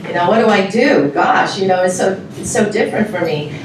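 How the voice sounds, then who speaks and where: A woman from Taiwan, giving a chatty, giggly welcome speech to an audience, pausing often with fillers. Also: medium pitch, expressive, background noise. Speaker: music club organizer presenting a show. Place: large indoor hall with live audience. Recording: very echoey hall, mic far off.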